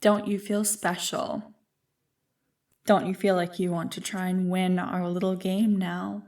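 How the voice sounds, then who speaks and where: A woman's voice: lowish voice, flat delivery, a little slow, a little mellow, far from the mic. A woman playing an ancient, manipulative evil creature in an ASMR roleplay, teasing and condescending as she savors her words. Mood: conspiratorial, tempting. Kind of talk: ASMR roleplay, scripted monologue to the listener. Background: music.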